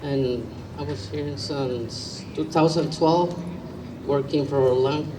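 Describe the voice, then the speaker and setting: A man from Mexico speaks plainly and loudly in a slightly higher voice. He is far from the mic, with room noise around him. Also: fairly even intonation, slow.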